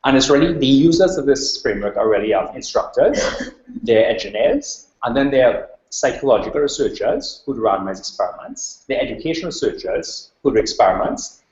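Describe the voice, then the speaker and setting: A man's voice: medium pitch, lively intonation, brisk, a little mellow. A man with a Trinidadian accent giving an academic talk, flowing along with many ums. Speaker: invited speaker, researcher. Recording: roomy, dull lo-fi recording.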